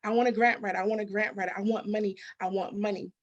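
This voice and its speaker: A woman's voice: medium pitch, fairly even intonation, fast talker, muffled recording. Emphatic woman giving interview advice. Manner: emphatic, rhetorical questions, acts out dialogue. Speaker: interview guest, business consultant and grant expert.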